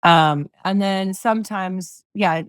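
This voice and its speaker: A middle-aged woman with a low voice, a singer-songwriter guest chatting loosely in an interview, full of fillers and restarts. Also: takes it slowly, smooth, dry recording, clean studio sound. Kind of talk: casual interview chat.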